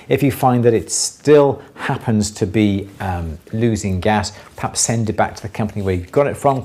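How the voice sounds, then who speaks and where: A man's voice: low voice, a little mellow. A middle-aged man with a Welsh accent, explaining hands-on craft work casually to a live audience and repeating words for emphasis.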